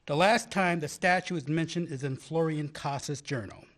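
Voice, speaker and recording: A man's voice: a little high, normal speed, fairly bright tone, natural voice. A man playing an obsessive young male graduate student who laughs at his own jokes, in an amateur radio play. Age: young adult.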